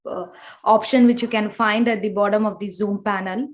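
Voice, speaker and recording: A woman hosting an online event, speaking politely and haltingly in a semi-scripted way over a roomy video call. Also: medium pitch, steady tone. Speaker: host introducing a workshop speaker.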